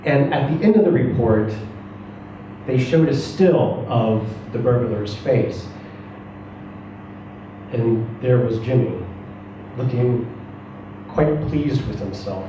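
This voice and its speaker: A man's voice: mid-range voice, expressive, slow pace, long pauses, dark tone. A man giving a dramatic reading of a first-person short story. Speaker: story reader at a live reading. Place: echoey room, away from the mic. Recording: roomy, echoing, distant mic, faint noise.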